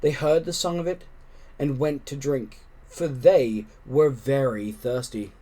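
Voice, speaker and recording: A man reading a children's story aloud, expressively and with deliberate phrasing. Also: medium pitch, warm tone. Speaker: storybook reader.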